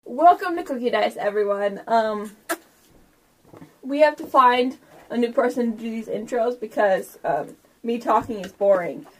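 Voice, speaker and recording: Higher-voiced woman, informal game host. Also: animated, a little slow, slight background noise, rough recording. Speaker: game master and podcast host. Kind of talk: casual tabletop game narration.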